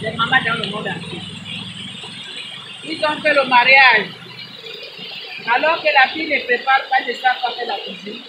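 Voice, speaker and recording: Brief: non-native French-speaking woman, high voice, repetitive preachy lecture to moms, noisy background. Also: normal speed, pauses often. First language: not French. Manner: prayerful, scolding, repetitive, addresses listeners as mothers. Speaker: advice vlogger. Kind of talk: informal preaching talk to mothers.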